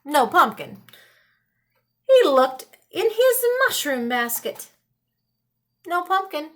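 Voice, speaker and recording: A woman reading a children's story aloud in a dramatic, expressive style with deliberate pauses. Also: high voice. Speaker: storybook reader. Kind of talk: animated children's read-aloud.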